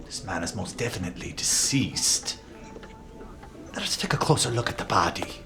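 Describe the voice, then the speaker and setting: An actor playing an aloof, pompous gentleman detective in a radio play, speaking in measured, theatrical phrases. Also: deep voice, lively intonation. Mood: haughty. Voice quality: haughty, measured, theatrical pauses. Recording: faint music behind.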